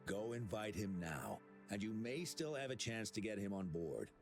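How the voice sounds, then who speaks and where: A man's voice: lowish voice, very animated, moderate pace. A man playing a helpful, confident and slyly knowing young man in a video game. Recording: music playing behind the voice.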